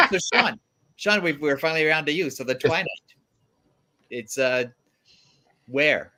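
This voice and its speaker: A man's voice: fairly high pitch, lively intonation, a little slow, sharp tone. A middle-aged man hosting a casual, joking panel chat. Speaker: host.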